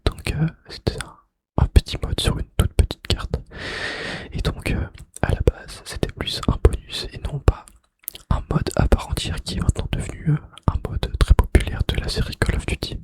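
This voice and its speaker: Whispering man doing ASMR. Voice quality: breathy unvoiced whisper. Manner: hushed, repeats key phrases slowly and softly. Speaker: ASMR video creator. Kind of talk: whispered ASMR gaming trivia. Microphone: close microphone.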